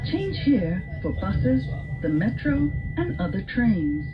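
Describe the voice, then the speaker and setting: A woman reading scripted train announcements in a measured, clearly articulated way. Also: medium pitch, sing-song, over music. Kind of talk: scripted public transit announcement. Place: inside a moving commuter train. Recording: distant, muffled train loudspeaker, phone recording.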